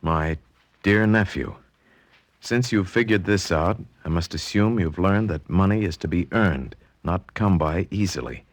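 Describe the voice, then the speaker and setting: An American actor playing an excitable, anxious young heir in a 1940s radio drama, hesitant in his delivery. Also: low voice, a little slow. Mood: excited, astonished.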